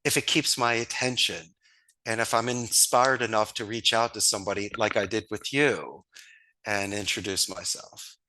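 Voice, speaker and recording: A man tells stories with enthusiasm and homespun sayings. His voice sits a little low yet sounds bright and forward. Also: steady tone, moderate pace, low-quality recording. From Northeast Philadelphia, USA. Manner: enthusiastic, storytelling, folksy sayings. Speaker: guest, call center founder. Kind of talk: casual interview talk.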